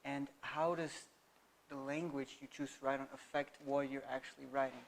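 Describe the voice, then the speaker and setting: A man's voice: mid-range voice, level tone, normal speed. A young man, a student interviewer, asking questions in a friendly, encouraging way with frequent short affirmations. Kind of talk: casual interview.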